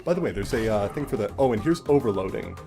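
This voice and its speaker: A man's voice: on the low side, lively intonation, fast, creaky. Guy doing let's play commentary, explains stuff casually, bit sweary, game music behind him.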